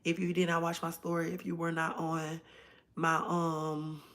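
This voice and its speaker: A hoarse woman hosting a casual livestream from home, chatting directly to her viewers. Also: low-pitched, completely flat delivery, unhurried, fairly bright tone. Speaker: livestream host.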